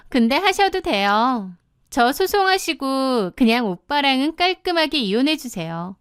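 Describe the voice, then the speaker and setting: A woman voicing a brazen young mistress in an audio drama, calm, cheeky and mock-polite as she taunts a wife. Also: high-pitched, clean tone, dry recording, studio quality, voice pushed up, full character voice.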